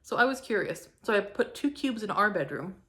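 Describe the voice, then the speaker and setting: A young woman from Canada chatting casually through a home fragrance review, gushing and filling her talk with likes and ums, her throat a little hoarse from a cold. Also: medium pitch, animated, a little fast, fairly bright tone. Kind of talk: casual product review vlog.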